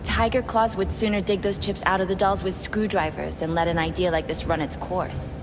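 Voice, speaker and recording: A woman's voice: fairly low pitch, fairly even intonation, a little fast, background noise, natural voice. An actress playing a haughty, sardonic woman boss in a cyberpunk game, with a cutting, condescending way of talking. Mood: dismissive, demanding. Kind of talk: cinematic game dialogue.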